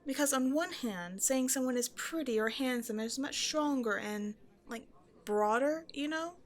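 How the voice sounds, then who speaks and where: A woman's voice: high-pitched, animated, unhurried, some chatter behind. A woman playing a shy, self-doubting young woman who rambles hesitantly in an intimate one-sided roleplay conversation with a stranger. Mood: awkward, musing. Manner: hesitant, apologetic, nervously overthinking, giggly.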